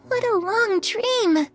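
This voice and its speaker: A woman's voice: extremely high pitch, a bit bright, exaggerated voice. A woman voicing an innocent, curious young girl in a video game, candid and trusting in a childlike way. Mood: curious, puzzled. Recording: music under the voice.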